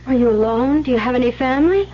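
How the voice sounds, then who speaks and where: Casting: soft-spoken ex-nun, earnest and quietly probing, high voice, radio play, muffled old recording. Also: female voice, lively intonation, quick pace. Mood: gently probing. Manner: hesitant, polite, soft-spoken. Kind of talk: old-time radio drama acting.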